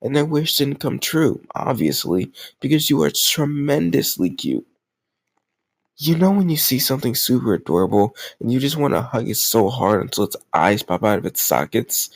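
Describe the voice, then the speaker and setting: A man playing a sweet, lovesick, obsessive kidnapper in an intimate audio roleplay, speaking in an earnest, gently reassuring, awkwardly doting conversational way. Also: medium pitch, sing-song, normal speed, vocal fry, no room sound. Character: sweet, lovesick, obsessive male kidnapper comforting a captive crush.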